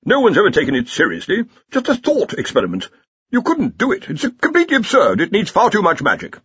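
A man's voice: high-pitched, wildly swooping pitch, fast talker, fairly bright tone, breathy edge, no room sound, muffled recording, voice pushed up, full character voice. A man voicing a dismissive older wizard dean who scoffs and complains firmly, in a storybook reading. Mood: scoffing.

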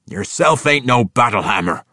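Winko, a contemptuous dwarf. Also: male voice, medium pitch, animated, normal speed, bright, forceful tone, dry recording, clean studio sound, voice pushed up. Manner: contemptuous, blustering. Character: hot-tempered male dwarf, contemptuous.

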